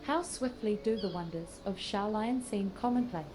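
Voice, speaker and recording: A woman's voice: medium pitch, noisy background, lightly acted. An actress playing an earnest, scholarly female sage in a fantasy game, pensive and formally spoken. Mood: solemn reflection. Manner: measured, pensive, archaic phrasing. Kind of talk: scripted fantasy game dialogue.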